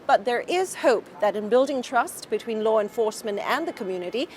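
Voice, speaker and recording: Crisp woman news correspondent outdoors. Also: fairly high pitch, lively intonation, a little hiss or hum. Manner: measured, clear, formal reporter delivery. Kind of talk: broadcast news reporting. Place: outdoors on city streets.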